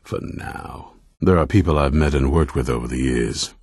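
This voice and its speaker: A battle-hardened commander of vampire hunters for a fantasy video game. His voice is exceptionally deep, thick with gravel and very husky. He speaks sternly and bluntly, trusting nobody. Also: normal speed, darkish tone, dry recording, clean studio sound, exaggerated voice. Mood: stern, measured. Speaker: leader of an order of vampire hunters. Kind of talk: video game character dialogue.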